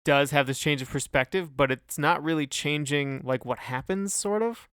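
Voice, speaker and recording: A man's voice: a little high, normal speed, a bit bright, clean tone, no room sound, clean studio sound. A man co-hosting a podcast, chatting casually about music and explaining things in a loose, hesitant way. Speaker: podcast co-host. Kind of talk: casual music discussion chat.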